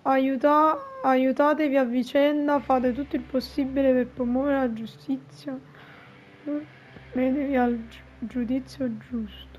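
A woman's voice: sits high, fairly even intonation, normal speed, lo-fi sound, slight background noise. A woman giving casual, informal gameplay commentary as a YouTube host, with muttered reactions and exclamations. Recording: game music behind the voice.

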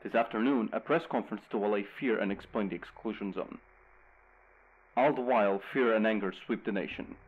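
An actor playing a grave radio news host, reading a scripted bulletin in a measured, urgent way over a lo-fi broadcast. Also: male voice, lowish voice, natural voice. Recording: dull lo-fi radio broadcast sound.

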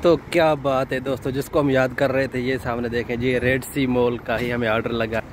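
Man vlogging casually over noisy surroundings. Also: mid-range voice. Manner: informal, peppered with exclamations of admiration. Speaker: vlogger and delivery driver. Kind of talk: casual vlog commentary on the sights. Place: in a moving car and outdoors. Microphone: phone held in hand, no external mic. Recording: loud traffic and outdoor noise, no microphone.

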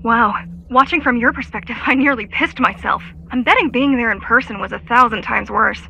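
A woman's voice: on the high side, brisk, gravelly, pushed and bright, a little hiss or hum, rough recording. A woman playing a candid, helpful hacker ally in a video game, with an informal way of talking. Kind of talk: scripted game dialogue.

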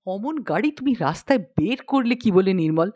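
A woman's voice: medium pitch, animated, moderate pace, a little mellow, lightly acted. Woman acting a stern, scolding father. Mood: reproachful. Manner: scolding, reproachful. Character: stern, exasperated middle-aged father.

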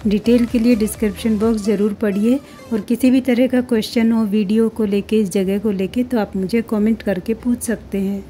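A woman's voice: medium pitch, fairly even intonation, normal speed, hardly any pauses, dark tone, soft background music, low-quality recording. A middle-aged woman with an Indian accent narrating a travel vlog, chatty and explanatory, speaking directly to viewers. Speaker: vlogger and channel host.

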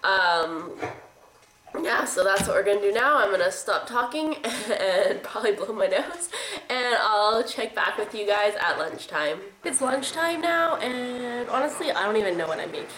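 A young woman with a cold talks through her day into a handheld camera at arm's length, her voice thick and congested. She rambles casually and laughs often, and her pitch sits in an ordinary middle range. The home recording carries a clear layer of background noise. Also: animated, moderate pace. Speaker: vlogger. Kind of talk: casual food diary vlog. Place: home kitchen and living room.

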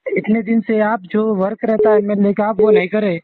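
A man's voice: high voice, level tone, normal speed, no room sound. A man with a Hyderabadi accent calling as a local resident, polite in address but insistent. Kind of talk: recorded phone conversation. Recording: muffled phone call, faint noise.

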